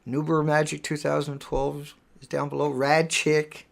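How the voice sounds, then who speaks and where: Man, gravelly, middle-aged, rambling live stream host, emphatic. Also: fairly high pitch, monotone, a little slow. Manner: rambling, emphatic, repeats words. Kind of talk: casual live stream monologue.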